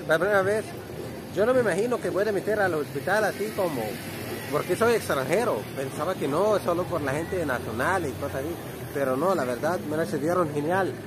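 A man in his thirties from Egypt with an Egyptian Arabic accent, chatting loosely to a handheld phone camera as he walks through an outdoor street market, speaking non-native Spanish with frequent restarts. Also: high-pitched. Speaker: vlogger. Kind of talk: walking vlog to camera. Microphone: handheld phone at arm's length. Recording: loud street crowd and music behind. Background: market crowd, traffic, music.

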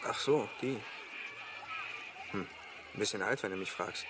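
A man's voice: lowish voice, a little slow, music in the background, lightly acted. An actor playing a brash, teasing teenage moped boy in a German radio play, offhand in manner.

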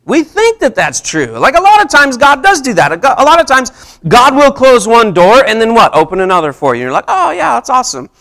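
A man's voice: very high voice, huge pitch swings, fairly quick, creaky, pushed and bright. A man in his thirties preaching a casual sermon to a seated congregation in a church hall, talking conversationally and tossing questions to the room. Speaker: pastor. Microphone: handheld mic, far camera.

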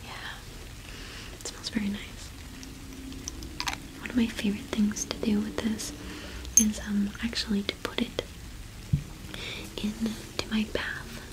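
Young woman, ASMR roleplay, soft whisper, slow pacing, gentle, close-miked. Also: medium pitch, monotone, low-quality recording. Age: young adult. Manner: gentle, unhurried whispering with pauses. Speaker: ASMR performer playing a cottage witch shopkeeper.

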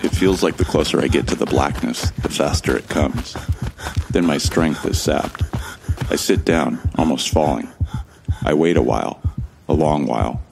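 Very deep gravelly weary man. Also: moderate pace, noisy background. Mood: resigned, weary. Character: resigned weary man narrating his own doomed flight. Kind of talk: first-person audio drama narration.

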